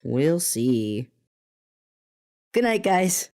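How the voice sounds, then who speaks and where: A woman's voice: low-pitched, sing-song, extremely slow, a little mellow, exaggerated voice. A woman playing a restless, adventurous young trainee in an audio drama, with casual dialogue. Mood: resigned.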